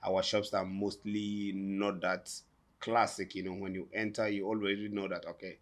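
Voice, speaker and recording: A man's voice: low-pitched, level tone, moderate pace. A man hosting an interview in a shop, chatty and enthusiastic, often repeating his questions. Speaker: interviewer, host. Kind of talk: casual interview. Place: boutique, indoors.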